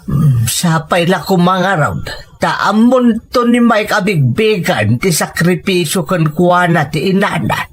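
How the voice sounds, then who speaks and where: A woman's voice: on the low side, animated, unhurried, slightly rough, pitched below the performer's own voice. A woman voicing a stern, resentful elderly grandfather who scolds indignantly in a dramatic family story. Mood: bitter. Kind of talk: dramatic Ilocano radio-style storytelling.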